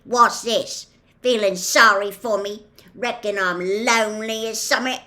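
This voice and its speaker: A woman voicing a loud, growling, grumpy giant man who speaks in rustic dialect, in a storybook reading. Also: very animated, very slow, exaggerated voice. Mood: grumpy, wounded. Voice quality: growling, booming. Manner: rustic dialect, snorting and grumbling.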